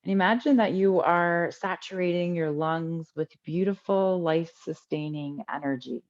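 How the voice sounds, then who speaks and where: A woman teaching a guided breathing meditation in a calm, unhurried way with long pauses between instructions. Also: fairly low pitch, highs cut off.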